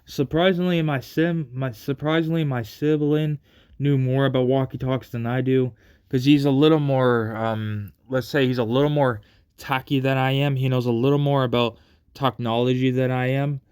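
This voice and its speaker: A man with a clean, smooth voice tells stories casually. His pitch stays flat and fairly narrow as he rambles along, halting and circling back over the same phrases. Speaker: storyteller. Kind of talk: casual personal storytelling.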